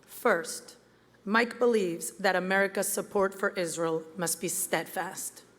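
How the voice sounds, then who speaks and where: A woman, giving a prepared campaign speech in an earnest, measured way. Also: medium pitch, fairly bright tone. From Venezuela. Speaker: campaign event speaker. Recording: reverberant hall with crowd.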